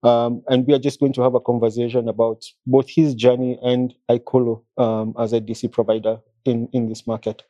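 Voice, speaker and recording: A man's voice: mid-range voice, level tone, fairly quick, hardly any pauses, mellow, dry recording, highs cut off. A man hosting a podcast, speaking hesitantly with many fillers. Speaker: podcast host. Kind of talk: casual interview hosting.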